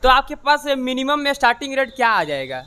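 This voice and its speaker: A man's voice: very high-pitched, brisk, clear, resonant tone. A young man hosting a vlog from a used car showroom, touring it and interviewing staff with a clip-on mic. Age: young adult. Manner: energetic presenter, repeats answers back. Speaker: vlogger. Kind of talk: casual vlog walkthrough. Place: car showroom and lot, indoors and outdoors. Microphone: lapel mic.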